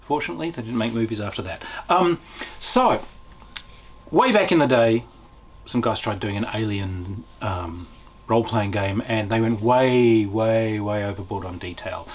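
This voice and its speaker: A man's voice: fairly low pitch, moderate pace. A man giving a casual, unscripted review as a tabletop game reviewer, enthusiastic and emphatic, drawing out key words.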